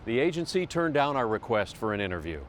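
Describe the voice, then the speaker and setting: A man's voice: mid-range voice, very animated, fast, a little hiss or hum. A man with an American accent reading a scripted television news report as the reporter.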